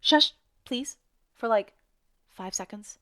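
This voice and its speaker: An actress as a blunt, exasperated radio co-host in an audio drama. She is a woman with a highish, sharp, bright voice and a sardonic, complaining delivery. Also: animated, slow. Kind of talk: scripted audio drama dialogue.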